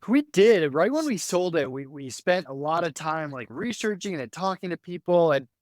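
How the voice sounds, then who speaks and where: A man in his thirties, a candid entrepreneur guest, speaks in a fairly high voice. He talks quickly and loosely, with many fillers. The sound is dry and close to the mic. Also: big pitch swings, no echo. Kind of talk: conversational interview.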